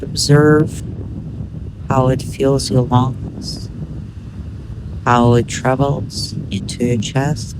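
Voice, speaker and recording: Female meditation guide, very unhurried delivery, mid-range pitch, measured instructional phrasing, some background noise. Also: steady tone. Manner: measured, instructional, with pauses between short phrases.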